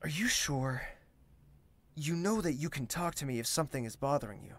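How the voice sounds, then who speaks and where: An actor playing a gentle, reserved young prince in an audio drama, courteous and measured, with formal wording and a self-doubting streak. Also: male voice, fairly high pitch, expressive. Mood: concerned, gentle. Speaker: character actor and first-person narrator. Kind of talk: scripted audio drama with inner monologue.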